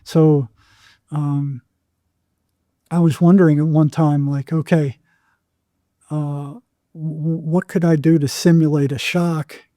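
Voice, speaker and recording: An older man telling his experiences conversationally as an interview guest, in a halting way with repeated words. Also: fairly high pitch, little pitch movement, dark tone, no room sound, studio quality. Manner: halting, repeats words, acts out remembered exchanges. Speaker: psychotherapist guest.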